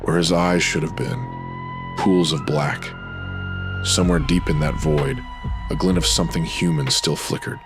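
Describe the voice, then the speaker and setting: Super deep guy voice narrating a spooky radio drama, measured and ominous, music playing behind him. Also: fairly even intonation. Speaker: narrator and host of horror anthology.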